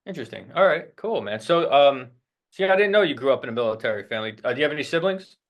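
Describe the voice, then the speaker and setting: A man's voice: medium pitch, expressive, fast, muffled recording. A man hosting a casual interview with his guitar student, speaking loosely with filler words. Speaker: host, guitar teacher.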